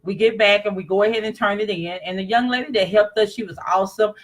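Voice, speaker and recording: A woman's voice: mid-range voice, steady tone, fast. A woman chatting casually about a trip, confident and talking straight to her viewers. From East Texas. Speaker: vlogger. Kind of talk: casual travel chat.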